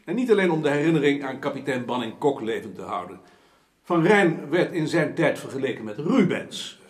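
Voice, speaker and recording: An actor playing a formal, emphatic gentleman councillor in a period radio drama, eloquent and scornful of his peers. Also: mid-range voice, very animated, brisk, lightly acted. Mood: earnest, oratorical. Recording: roomy, echoing studio sound.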